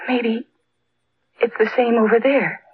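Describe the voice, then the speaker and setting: An actress playing a frightened, earnest young wife in an old-time radio drama, halting and pleading in her delivery. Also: fairly high pitch, steady tone, breathy edge, no room sound. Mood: hesitant, uneasy. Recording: noisy, dull old radio recording.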